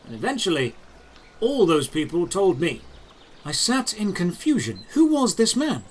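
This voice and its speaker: A man narrating a scripted first-person fantasy audio drama in a dramatic, deliberate storytelling manner, in short phrases. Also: sits high, wildly swooping pitch. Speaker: narrator and lead character.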